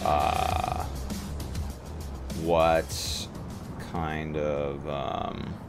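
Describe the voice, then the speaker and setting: A man's voice: a bass voice, flat delivery, extremely slow, loud music behind the voice. A man chatting casually and thinking aloud as a player in a tabletop roleplay.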